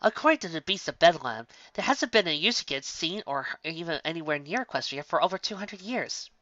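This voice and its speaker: A bookish young female unicorn, voiced by a woman reading aloud, explains everything with eager precision. The tone is bright and crisp, a touch higher than the reader's own. It sounds slightly muffled and dry, like a lo-fi home recording. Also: lively intonation, a little fast, no echo. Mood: explaining.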